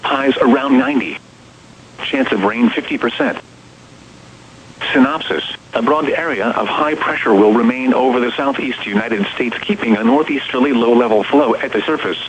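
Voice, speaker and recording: A man's voice: lively intonation, normal speed. A synthesized man's voice reads a scripted weather forecast as a radio announcer, in formal, clipped, separate phrases. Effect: synthesized text-to-speech voice. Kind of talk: automated scripted marine and weather forecast reading. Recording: muffled, noisy radio broadcast, rumble.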